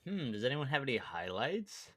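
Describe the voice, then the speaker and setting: A man co-hosting a casual comedy podcast with his brothers, playful and quick to laugh. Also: mid-range voice, very animated, fairly bright tone. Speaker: podcast co-host.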